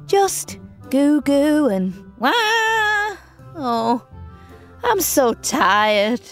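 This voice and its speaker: A woman's voice: high-pitched, sing-song, soft background music, voice pushed up, exaggerated voice. A woman voicing a tired young mother, weary and fretful, drawing her words out. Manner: weary, fretful, slow and drawn-out.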